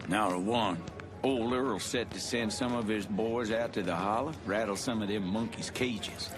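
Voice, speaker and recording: A man's voice: fairly low pitch, moderate pace, music in the background, natural voice. An actor playing a hateful, menacing good old boy with an American Southern accent in a video game drama. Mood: scornful.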